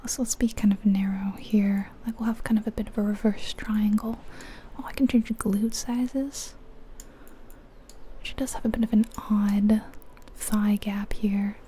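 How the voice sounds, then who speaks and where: A woman whispering softly and breathily in an unhurried, musing ASMR commentary on a video game. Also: medium pitch, flat delivery, slight background noise. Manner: hushed, unhurried, musing aloud.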